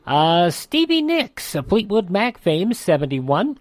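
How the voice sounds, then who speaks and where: A man's voice: sits high, very animated, slow pace, dry recording. An American man hosting radio, reading a scripted rundown of events and dates in history. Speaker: radio host.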